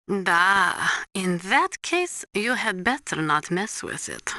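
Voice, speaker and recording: A woman's voice: mid-range voice, very animated, slow, bright, forceful tone, dry recording, studio quality, full character voice. A woman voicing a serious, knowledgeable military analyst in a video game, briefing in a precise, formal, instructive way. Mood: matter-of-fact.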